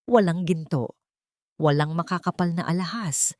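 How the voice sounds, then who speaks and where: A woman narrates a dramatic piece of fiction in a somewhat low voice. Her delivery is deliberate and suspenseful, like a storyteller reading from a script. The sound is dry, with very little room around it. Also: darkish tone, no echo.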